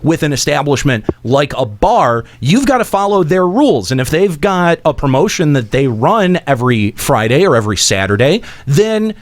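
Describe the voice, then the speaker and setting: A man hosting a radio show with casual banter and rambling, halting phrasing. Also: fairly high pitch, very animated. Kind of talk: casual banter between hosts.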